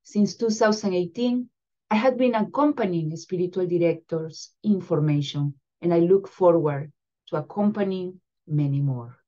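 A middle-aged woman from Venezuela with a Venezuelan Spanish accent and Spanish as her first language gives a careful, measured introduction as a spiritual direction supervisor over a video call. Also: on the low side, warm tone, dull audio. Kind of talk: prepared introduction to a program. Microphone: video call webcam.

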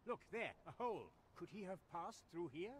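An actor playing an earnest, bookish older scholar in a fantasy game, formal and emphatic, with archaic phrasing. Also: male voice, sits high, big pitch swings, normal speed. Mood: urgent.

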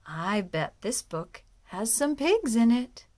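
A woman reading a picture book aloud to young children, often hushed, with exaggerated wonder. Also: on the high side, big pitch swings, takes it slowly. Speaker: storyteller and children's music teacher.

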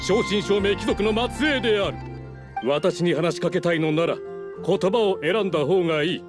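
An actor playing a haughty descendant of fallen aristocrats in a video game, speaking in a pompous, scornful, lordly manner. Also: male voice, high-pitched, moderate pace, over music, close to an everyday voice. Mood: indignant, haughty. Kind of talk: theatrical video game dialogue.

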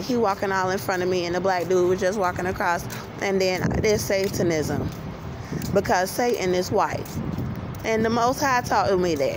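An American woman speaks at a middle pitch in an insistent, emphatic monologue, circling back over her phrases. She is walking outdoors with a handheld phone, and clear street and traffic noise sits behind her voice. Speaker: vlogger. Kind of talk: livestream-style monologue to camera while walking. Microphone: handheld phone at arm's length. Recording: noisy phone recording on city street.

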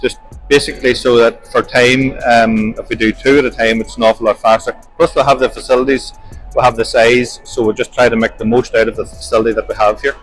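A man's voice: mid-range voice, fairly even intonation, fairly quick, rough recording. Middle-aged man, practical walk-through guide, plain explaining manner, faint music behind. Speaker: workshop manager giving a tour. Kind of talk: walking tour explanation. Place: large industrial workshop indoors.

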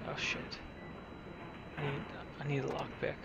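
A man's voice: a little high, completely flat delivery, measured pace, vocal fry, lo-fi sound, over loud music. A man casually narrating his roleplay playthrough of a video game. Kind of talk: casual game commentary, partly in character.

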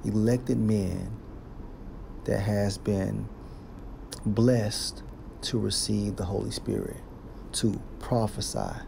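Slow gravelly male Bible teacher. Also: fairly low pitch, darkish tone, a little hiss or hum. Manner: slow, deliberate, reads verses aloud and explains. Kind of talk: scripture study teaching.

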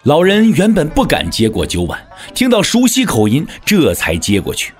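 Husky, highly expressive male story narrator. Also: normal speed, soft background music. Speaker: audio drama narrator. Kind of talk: scripted dramatic storytelling narration.

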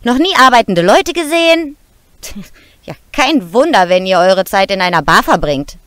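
A woman's voice: mid-range voice, big pitch swings, normal speed, a bit bright, clear, resonant tone, no room sound. A woman playing a seductive, scornful ghost hunter in a fantasy audio drama, sugary or sneering. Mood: scornful, annoyed.

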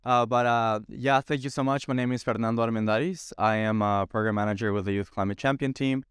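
A man's voice: mid-range voice, steady tone, a little fast, clear, resonant tone, no echo, studio quality. A man in his thirties from Ecuador, speaking as a panelist on stage with a handheld mic, friendly and joking with the audience. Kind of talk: panel talk. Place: stage in a large event hall.

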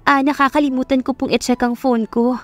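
A woman voicing a gentle young wife and dutiful daughter, apologetic and earnest, in a romantic audio story. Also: high voice, flat delivery, a little fast, dry recording, faint music behind, close to an everyday voice. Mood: meek.

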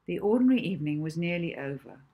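Woman, middle-aged, very low pitch, husky, storybook narration, author reading aloud, indoor home recording. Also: lively intonation, fast talker, dull audio. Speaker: author reading own novel.

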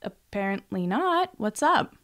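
A woman's voice: medium pitch, very animated, measured pace, a bit bright. A woman voicing a wry, unimpressed young student barista in an audiobook, with a dry, offhand manner.

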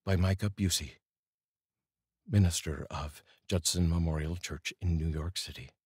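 A middle-aged man speaking in an earnest, deliberate way, like a host reading poetry aloud, up close to a studio microphone. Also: deep voice, darkish tone, slightly rough, no room sound. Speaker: host and voice-over reader. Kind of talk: solemn, measured poetry reading and personal address to viewers. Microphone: large studio microphone, up close.

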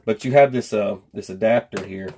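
A man's voice: lowish voice, moderate pace, a little mellow, a little hiss or hum. A man in his thirties chatting in a folksy, rambling way as he reviews and unboxes gear in a home workshop. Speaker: host of a gear review channel. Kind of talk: casual product unboxing and review chat.

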